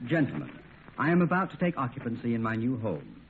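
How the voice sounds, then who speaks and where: An actor playing a smug, unflappable lawyer and family friend in a 1950s radio comedy, wry and teasing. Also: male voice, mid-range voice, wildly swooping pitch, fast. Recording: tinny, noisy 1950s radio recording.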